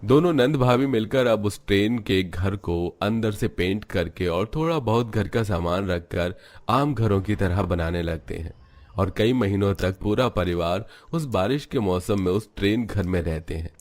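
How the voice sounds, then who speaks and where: A man with a deep voice narrates an animated family story. His delivery is scripted storytelling, set in a low register. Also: measured pace.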